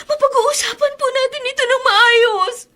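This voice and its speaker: A woman's voice: very high-pitched, moderate pace. A woman playing a desperate, loving, ailing mother in a radio melodrama, pleading emotionally with a Filipino accent. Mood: pleading, tearful. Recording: loud dramatic music underneath.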